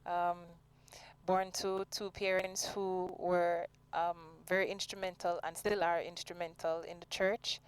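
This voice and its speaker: A woman's voice: on the low side, little pitch movement, measured pace, smooth, low-quality recording. A woman with a Jamaican accent, talking earnestly and expansively as a singer being interviewed. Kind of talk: conversational radio interview.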